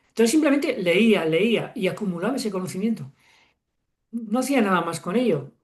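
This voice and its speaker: A man in his sixties from Spain, a guest author talking reflectively in an interview, with frequent fillers and self-corrections. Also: sits high. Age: 60s. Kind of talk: relaxed interview conversation.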